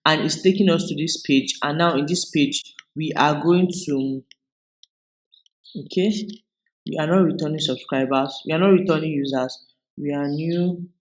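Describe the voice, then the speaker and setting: A man from Nigeria with a Nigerian English accent, hosting a tutorial video and explaining each step in a relaxed way, with frequent restarts. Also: fairly high pitch, normal speed, rough recording. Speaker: video host. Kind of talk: screen-recorded tutorial walkthrough.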